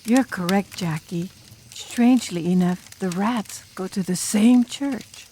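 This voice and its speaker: A woman voicing an enigmatic drum major student telling a demon horror tale in an audiobook, with ominous, drawn-out, dramatic delivery. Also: medium pitch, warm tone, a little hiss or hum, close to an everyday voice. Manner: ominous, drawn-out, dramatic, sometimes dropping to a whisper. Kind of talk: audiobook ghost story narration in character.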